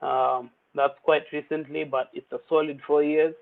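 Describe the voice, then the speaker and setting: A man's voice: on the high side, little pitch movement, normal speed, creaky. A young man hosting an online poetry open mic in a chatty, playful way, mixing English with Swahili slang. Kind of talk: casual livestream hosting. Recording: tinny phone-like audio.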